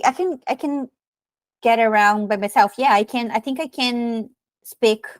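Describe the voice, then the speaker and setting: A woman's voice: medium pitch, smooth, dry recording. Brazilian woman telling her story, dry sound. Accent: Brazilian Portuguese. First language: Portuguese. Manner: many fillers and restarts, animated storytelling. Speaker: podcast guest. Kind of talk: casual interview chat.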